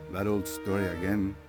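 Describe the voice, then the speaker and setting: An actor playing an arrogant, greedy Spanish conquistador in a game cutscene, dismissive and boastful. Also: male voice, low-pitched. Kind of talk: video game cutscene acting.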